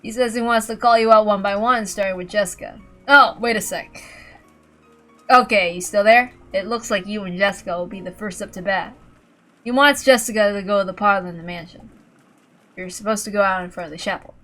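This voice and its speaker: Woman playing a brisk, offhand father, bright-voiced. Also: medium pitch, faint music behind, close to an everyday voice. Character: gruff, casual middle-aged father on the phone.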